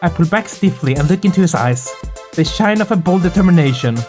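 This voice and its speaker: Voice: medium pitch, expressive, brisk, darkish tone, faint music behind, lightly acted. A performer reading as an anxious, lovestruck narrator of a visual novel, breathless and intense, in short dramatic phrases. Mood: tender, nervous. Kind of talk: dramatic first-person story reading.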